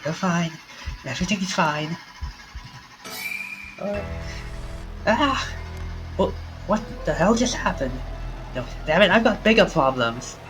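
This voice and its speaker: A man reading aloud as a frightened young man who stumbles over his words in panic. The voice sits a little high, and game music plays clearly beneath it. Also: lively intonation, slow, pauses often, poor audio. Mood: panicked. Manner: stammering, panicky, then quietly resolved. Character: frightened young man, friend has collapsed. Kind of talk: reading a visual novel aloud.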